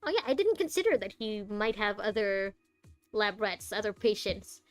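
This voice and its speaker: A woman's voice: medium pitch, moderate pace. Wry woman streamer over game music. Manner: wry, opinionated, hesitant thinking aloud. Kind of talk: casual live playthrough commentary. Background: game dialogue and music.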